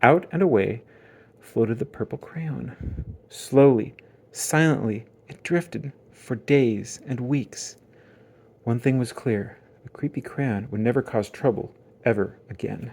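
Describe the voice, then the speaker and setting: A man narrating a children's book aloud as dramatic storytelling, with theatrical emphasis and suspenseful pauses. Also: medium pitch, vocal fry, darkish tone, slightly rough.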